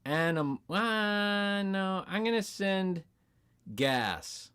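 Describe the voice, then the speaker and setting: A man's voice: high-pitched, expressive, drawn-out words, a bit bright, smooth. A man playing as a game show contestant, bantering casually in a somewhat hesitant way.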